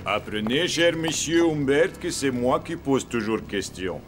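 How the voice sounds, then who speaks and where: A cartoon villain's henchman played by a man with a Russian accent. He speaks at a middling pitch with theatrical, mock-polite menace and broken grammar, over clear background music. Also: animated, measured pace, close to an everyday voice. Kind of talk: French cartoon voice acting.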